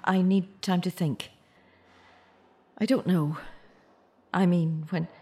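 A woman acting a bereaved, searching mother in an audio drama, naturalistic and halting, with anxious care. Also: fairly low pitch, fairly even intonation, dark tone, slightly rough, heavily acted. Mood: flustered.

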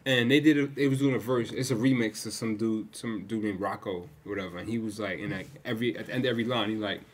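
A man's voice: on the low side, steady tone. A man co-hosting a casual podcast chat, talking bluntly with plenty of swearing. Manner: fast, blunt, swears freely, storytelling. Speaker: podcast co-host.

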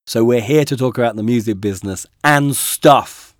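A man co-hosting a podcast, hoarse from a cold, chatting in a dry, wry, self-mocking way. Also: mid-range voice, normal speed, dry recording. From United Kingdom. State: hoarse from a cold, voice deeper than usual. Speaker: podcast co-host. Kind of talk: casual chat.

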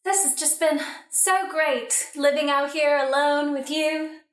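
Casting: theatrical young widow played by a woman, high-pitched, radio spoof, echoey room. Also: fairly even intonation, measured pace. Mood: adoring. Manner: melodramatic. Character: melodramatic young widow, nervous murder suspect. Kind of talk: improvised comedic radio play.

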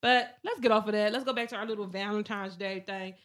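A woman in her late twenties co-hosting a casual podcast chat, slangy and quick to laugh. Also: medium pitch. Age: 20s. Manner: animated, laughs often, slangy. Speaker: podcast co-host.

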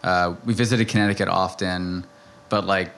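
Male interview guest, American, slightly deep voice, informal personal storytelling. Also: steady tone, normal speed, creaky, fairly bright tone. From suburbs of Philadelphia, United States. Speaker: podcast guest, travel content producer. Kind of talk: casual conversational storytelling.

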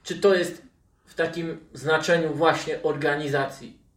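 A man's voice: fairly high pitch, fairly even intonation, a little slow. Roomy, distant-sounding man hosting football talk. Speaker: podcast host. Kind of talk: football discussion podcast.

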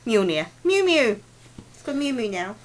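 A woman with a New Zealand accent co-hosting a podcast, playful and teasing with deadpan jokes. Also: fairly high pitch, normal speed. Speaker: podcast co-host. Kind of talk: casual podcast banter.

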